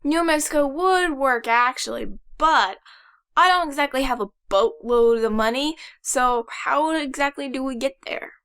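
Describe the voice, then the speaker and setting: A woman's voice: sits high, normal speed, a bit bright. A woman playing a brainy, exasperated young boy inventor in a fan audio drama, explaining things in a precise, know-it-all way. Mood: practical, musing.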